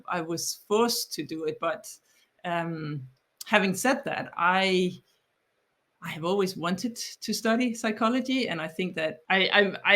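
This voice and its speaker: A woman's voice: on the low side, a bit bright. A woman in her forties from Denmark with a Danish accent, explaining her ideas as a podcast guest, thoughtful, often restarting and repeating words. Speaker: guest author. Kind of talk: podcast interview.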